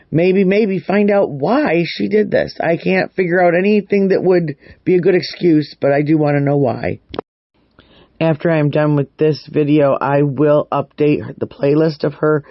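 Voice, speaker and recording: A man's voice: a little high, no room sound. A man hosting a true crime vlog, giving casual, opinionated news commentary and repeating words for emphasis. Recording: dull, muffled lo-fi audio.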